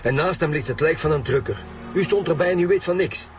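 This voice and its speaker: An actor playing a blunt, sarcastic chief police inspector in a radio drama, with a Flemish accent. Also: male voice, on the high side, animated, a little fast, over music, close to an everyday voice. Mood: exasperated. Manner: gruff, sarcastic, colloquial. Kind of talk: naturalistic radio drama acting.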